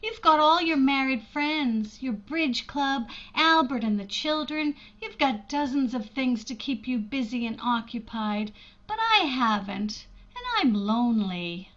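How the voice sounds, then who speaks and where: A woman's voice: high voice, lively intonation, unhurried, a bit bright, highs cut off. A woman playing a shy, lonely older sister from an old-time radio drama, hesitant, earnest and self-deprecating. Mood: pleading.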